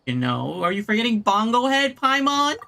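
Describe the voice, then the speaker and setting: A woman's voice: fairly high pitch, sing-song, normal speed, gravelly, pushed and bright. A woman game streamer giving casual playthrough commentary, reading along and reacting.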